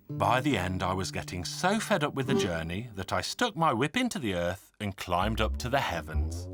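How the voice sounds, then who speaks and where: Cartoon folk-tale narrator: boastful tall-tale hero, earnest and theatrical, wildly varied intonation, music underneath. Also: male voice, fairly low pitch. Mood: wry, animated. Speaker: storyteller. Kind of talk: animated folk-tale storytelling.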